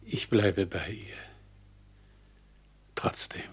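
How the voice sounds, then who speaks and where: An actor playing a hurt, gentle rich lover in a radio play, husky and halting as he pleads. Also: sits low, heavily acted. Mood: resigned, subdued. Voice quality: husky, breathy. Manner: slow, halting, subdued. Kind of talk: German radio play acting.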